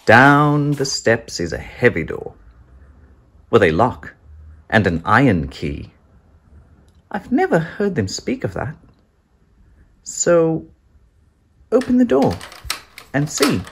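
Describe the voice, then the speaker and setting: Storybook narrator, medium-pitched, very slow and suspenseful, with big dramatic swings for young listeners. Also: big pitch swings, fairly bright tone, slightly rough. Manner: dramatic, suspenseful, deliberate phrasing. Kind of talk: expressive children's read-aloud storytelling.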